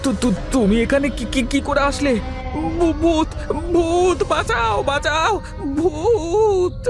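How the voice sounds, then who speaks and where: A man's voice: very high voice, slow, over music, full character voice. A man playing an anxious, curious village husband in a horror cartoon, with exclamatory, repetitive urgings. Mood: terrified, stammering. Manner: exclamatory, agitated, repeats short urgings. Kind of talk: animated horror cartoon dialogue.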